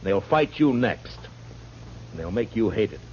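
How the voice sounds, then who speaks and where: Stern Old West lawman, low plainspoken authority, dull vintage radio sound. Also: sing-song, unhurried, darkish tone, slight background noise. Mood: firm, defiant. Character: stern, weary frontier marshal, commanding and plainspoken. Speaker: town marshal. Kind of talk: old-time radio western acting.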